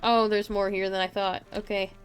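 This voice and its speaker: A woman's voice: medium pitch, level tone, moderate pace, over loud music. A woman streaming a video game live with casual, chatty commentary, often muttering to herself and to game characters.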